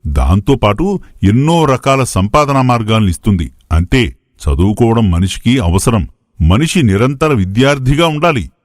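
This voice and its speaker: A man's voice: medium pitch, very animated, normal speed, no echo, studio quality, natural voice. A man playing a wise, patient elderly adviser in a moral story, speaking in a didactic, reassuring way. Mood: patient advising.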